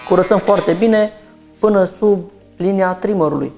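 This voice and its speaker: A man's voice: high-pitched, creaky, dark tone, soft background music. A man in his thirties from Romania, a barber who explains each step of a haircut while he works, talking through it in a small shop.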